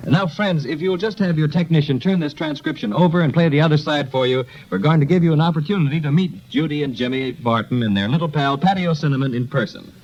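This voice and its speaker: A man's voice: on the high side, fast, hardly any pauses, slight background noise. A man announcing and interviewing in a scripted 1930s radio style, formal and courteous. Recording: dull lo-fi 1930s radio transcription.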